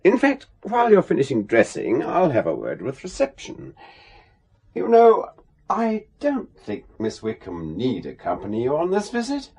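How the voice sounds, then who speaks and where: An actor playing a smooth go-between for spies in a radio drama, polite, formal and coolly pressing. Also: male voice, fairly high pitch, huge pitch swings, moderate pace, darkish tone, heavily acted.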